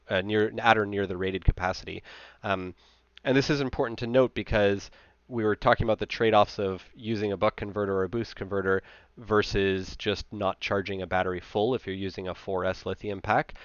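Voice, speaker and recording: Low, smooth American man presenting. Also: fairly bright tone, no room sound, highs cut off. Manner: explanatory, conversational. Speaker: presenter, amateur radio hobbyist. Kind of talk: informal technical slide presentation.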